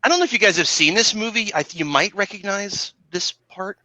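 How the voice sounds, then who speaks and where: A man's voice: sits high, a little fast, bright, forceful tone, no echo, rough recording. A man chatting casually and playfully as a panelist on a video call, with a theatrical streak.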